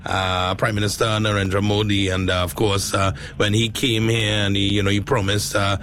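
A man with a Trinidadian accent and a lowish voice conducts a radio interview. He feels his way through questions, pausing and repeating phrases. There is noticeable background noise around him. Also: flat delivery, fairly bright tone. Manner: hesitant, halting, repetitive phrasing. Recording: clearly noisy background ambience.

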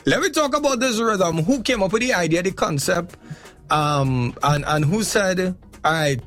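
A man with a Trinidadian accent hosting a radio show, lively and playful, quick to laugh. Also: high-pitched, faint music behind. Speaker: radio host. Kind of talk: casual radio chat and ad reads.

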